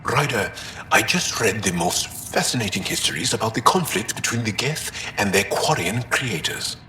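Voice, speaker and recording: A man playing an earnest, thoughtful alien fighter with a wistful streak in video game dialogue, speaking deliberately. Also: fairly low pitch, expressive, sharp tone, echoey room, slight background noise.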